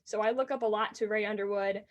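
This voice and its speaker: An eighteen-year-old woman with a high-pitched voice, earnestly answering interview questions about racing announcing. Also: fairly even intonation. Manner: earnest and enthusiastic, quick bursts.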